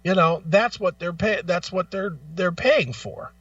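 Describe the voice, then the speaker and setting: A man's voice: high voice, unhurried, a bit bright, dry recording, distant mic, lo-fi sound. A man hosting a talk show in an opinionated rant, blunt, profane and argumentative, repeating phrases for emphasis. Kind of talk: opinionated music talk, ranting.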